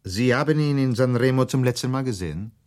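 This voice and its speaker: Older Italian police officer for crime radio play male actor: polite, inquisitive, animated medium-pitched delivery. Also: brisk, lightly acted. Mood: probing. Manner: inquisitive, formal. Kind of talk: radio drama dialogue.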